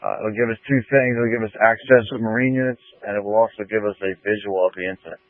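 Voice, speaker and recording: A man's voice: lowish voice, steady tone, fast talker, no echo. A man speaking as a fire officer over two-way radio in a procedural, matter-of-fact manner during emergency traffic. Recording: tinny narrowband emergency scanner radio.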